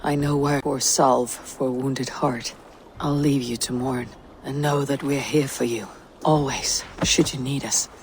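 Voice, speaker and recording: An actor playing a stoic, compassionate Viking warrior in a video game, measured, sombre and earnest. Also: male voice, mid-range voice, fairly even intonation, a little hiss or hum, natural voice. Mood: consoling. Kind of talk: dramatic video game acting.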